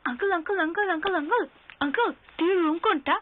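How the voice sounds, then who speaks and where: Cheeky, very high-pitched young woman. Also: rapid-fire, slight background noise. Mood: chirpy, pushy. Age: young adult. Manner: chattering, insistent, repeats herself. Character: cheeky, bold young woman, feisty and quick to talk back. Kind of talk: Bengali TV romantic drama acting. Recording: thin phone-like sound, faint music.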